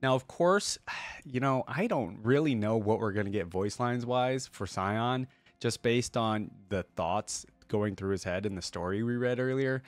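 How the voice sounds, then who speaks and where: A man's voice: mid-range voice, unhurried, no room sound. A man hosting a reaction video, talking casually and informally in chatty commentary with exclamations.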